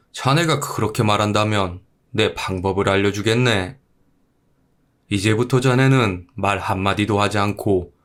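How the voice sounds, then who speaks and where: A man voicing a solemn, knowing Buddhist monk in a folktale, with measured, old-fashioned, sage-like speech. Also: on the low side, close to an everyday voice.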